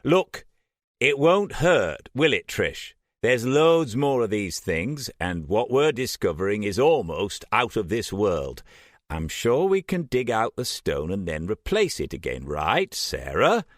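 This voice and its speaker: A man's voice: medium pitch, lively intonation, fairly quick, hardly any pauses, a bit bright, no echo, clean studio sound, voice pushed up, close to an everyday voice. A man voicing an easygoing young man who talks in a casual, placating way.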